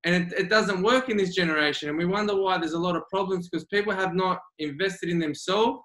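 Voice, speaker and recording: Australian man, high voice, earnest guest coach, echoey room. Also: brisk, fairly bright tone. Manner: earnest, emphatic, repeats key phrases. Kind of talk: remote interview. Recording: roomy echo.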